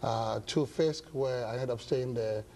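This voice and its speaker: A pastor from Ghana, older, answering interview questions slowly with many pauses and fillers. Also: male voice, medium pitch, creaky, a little mellow, a little hiss or hum. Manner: halting, many uh fillers, measured. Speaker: pastor and guest. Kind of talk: interview talk show.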